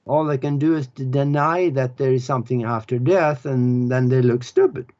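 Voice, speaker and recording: A man from Norway. He explains spiritual ideas in an earnest, halting way, pausing often as he searches for words. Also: mid-range voice, darkish tone. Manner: unhurried, earnest, halting with pauses. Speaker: author and spiritual teacher. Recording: dull lo-fi recording.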